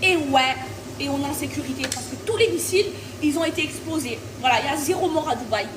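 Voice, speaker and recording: A high-voiced woman from France rants indignantly, her words wavering between laughter and tears. She sounds distant from the microphone in an echoey room with noise all around her. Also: fast. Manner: indignant, shaky, half laughing half crying. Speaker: influencer in a clip. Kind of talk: emotional rant to camera. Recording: echoey noisy room from off mic.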